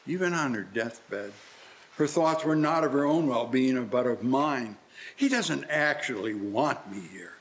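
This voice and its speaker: An actor playing an older, miserly uncle in a live radio-play style reading, halting and questioning as he looks on bewildered. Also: medium pitch, lively intonation, close to an everyday voice. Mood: subdued, wistful.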